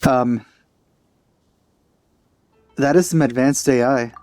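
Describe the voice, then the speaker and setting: A man's voice: mid-range voice, slow pace, darkish tone, dry recording. A man commenting casually on a game as a let's play host, in a wry, offhand way. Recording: game music behind voice. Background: game music.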